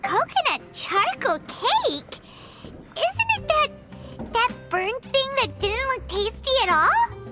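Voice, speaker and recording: Squeaky, excitable little fairy sidekick. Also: female voice, takes it slowly, heavily acted. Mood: puzzled, curious. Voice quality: squeaky, childlike. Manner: excitable, refers to self in third person. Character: Paimon, tiny excitable childlike fairy companion, female. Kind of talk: video game voice acting. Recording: background music throughout.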